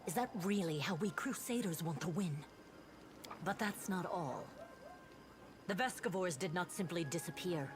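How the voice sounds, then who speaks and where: Woman playing a grave crusader officer, low-voiced. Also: moderate pace, pauses often, background noise, natural voice. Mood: grave worry. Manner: earnest, grave, reproachful. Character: stern, troubled female crusader officer. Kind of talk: video game voice acting. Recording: game dialogue over clear music.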